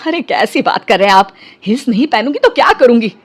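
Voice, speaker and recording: Woman acting fretful high-voiced short mother-in-law. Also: lively intonation, fast talker. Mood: pleading, upset. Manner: fretful, complaining. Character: short, insecure, grumbling middle-aged mother-in-law.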